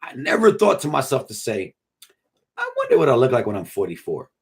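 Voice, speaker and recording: Casual live-stream host, man, 40s, husky and tired, lively swings in pitch, slangy. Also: brisk. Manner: laid-back slangy, drifts from mumbling to excited. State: tired, yawning. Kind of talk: casual live chat reading comments.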